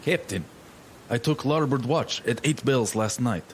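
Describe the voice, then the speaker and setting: An actor playing a haunted, superstitious ship's mate in a gothic audio drama, intense and halting. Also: male voice, mid-range voice, a little hiss or hum, lightly acted. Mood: uneasy, insistent.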